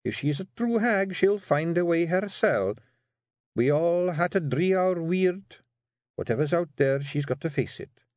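A man puts on a young Scottish fairy queen for an audiobook. She speaks in a high, lilting pitch, meek and sweet on the surface but firm and quietly dangerous, a wife who will be obeyed. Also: animated, measured pace, no echo, clean studio sound. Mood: firm, resolute. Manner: deceptively meek and sweet, pointed, controlled. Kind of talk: audiobook character voice.

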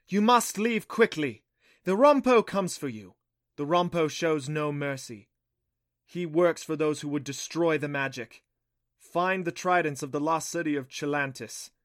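A man voicing a solemn goddess who speaks prophecy in a heavy, slow, commanding voice for a fantasy story. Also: a little high, a bit bright, no echo, studio quality, pitched above the performer's own voice. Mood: urgent warning. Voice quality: heavy voice, hissing.

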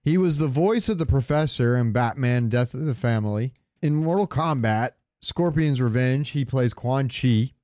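A man's voice: medium pitch, moderate pace, few pauses, darkish tone, no echo. Sweary man chatting, close dry sound. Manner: sweary, rambling, jokey storytelling. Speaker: podcast co-host. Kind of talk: casual comedic chat.

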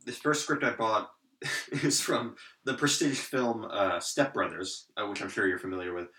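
A man talking in a dry, deadpan way for a comedy sketch. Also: fairly low pitch, normal speed, reverberant.

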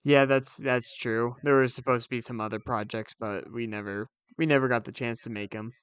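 Clear-voiced young man, playful podcast co-host. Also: medium pitch, steady tone, brisk, fairly bright tone, dry recording. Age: young adult. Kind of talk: casual podcast chat.

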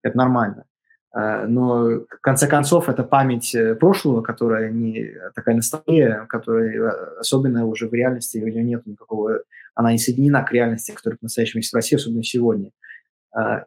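Male interview guest from Latvia; husky, halting, thoughtful. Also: medium pitch, a little mellow. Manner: halting, many fillers and restarts. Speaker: interview guest, project author. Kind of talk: reflective interview answers.

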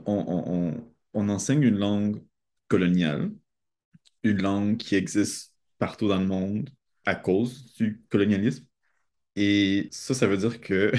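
A young man talks things through as a guest. His voice sits low and mellow, with a dark, soft tone. He speaks thoughtfully, with the odd light laugh. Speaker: guest, doctoral student. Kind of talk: casual conversation.

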